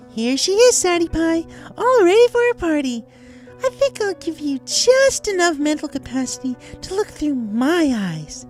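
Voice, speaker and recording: A woman's voice: sits high, a little mellow. A woman voicing a manic, girlish cartoon pony killer in a dramatic story reading, sugary, theatrical and taunting, with sweet menace. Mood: gloating menace. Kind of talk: dramatic fan fiction reading in character. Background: music.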